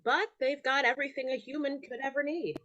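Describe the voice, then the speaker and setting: A woman's voice: high-pitched, fairly even intonation, a little fast, fairly bright tone, highs cut off. A woman voicing a fussy, scolding bird in a staged reading, with a dramatic manner. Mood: knowing, admiring. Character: fussy, scolding bird guarding human belongings. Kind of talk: staged reading character acting.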